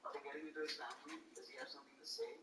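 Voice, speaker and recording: A man's voice: a little high, very fast, vocal fry, reverberant, recorded from a distance, very noisy. A man from India with an Indian accent, an actor and comedian guest telling playful stories in casual podcast chat. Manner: animated, playful storytelling.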